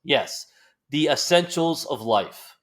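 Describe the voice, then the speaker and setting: A man's voice: on the high side, animated, slow, fairly bright tone. An American man hosting a podcast, chatting casually with joking banter. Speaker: podcast host. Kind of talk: casual interview chat.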